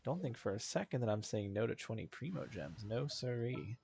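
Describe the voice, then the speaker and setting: A man doing casual, informal gameplay commentary on a livestream, thinking out loud and swearing freely. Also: mid-range voice, lively intonation, moderate pace, creaky, a little hiss or hum. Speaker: game streamer. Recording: game music behind the voice. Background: video game music.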